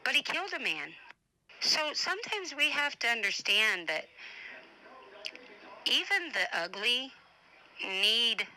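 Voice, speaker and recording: A woman's voice: fairly high pitch, sing-song, slow pace, long pauses, sharp tone, low-quality recording. A woman speaking informally in a personal voice message, emphatic and defensive, repeating her points to drive them home. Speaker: family advocate and show host.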